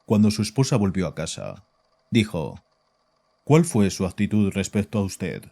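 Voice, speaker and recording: An actor narrating a horror audio drama and playing its composed psychiatrist, measured and grave in a deep, dark voice. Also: male voice, big pitch swings, vocal fry, heavily acted. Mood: calm, probing. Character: composed, probing male psychiatrist who also narrates. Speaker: narrator and doctor.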